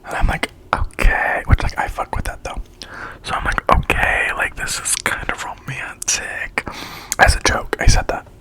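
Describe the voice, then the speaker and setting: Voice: moderate pace, sharp tone, rough recording. A speaker whispering a slangy, chatty storytime in ASMR style while eating, acting out quoted lines along the way.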